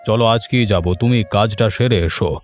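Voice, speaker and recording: A man voicing an older village headman in a cartoon, speaking in a calm, steady way over soft music. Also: fairly low pitch, a little fast. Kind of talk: cartoon dialogue.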